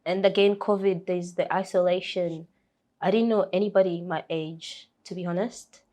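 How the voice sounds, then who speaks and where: A young woman from Kenya with a Kenyan accent talks through her story in a relaxed interview. She speaks softly and hesitantly, with many fillers and restarts. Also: lowish voice, normal speed. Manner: soft-spoken, frequent fillers and restarts. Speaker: interview guest.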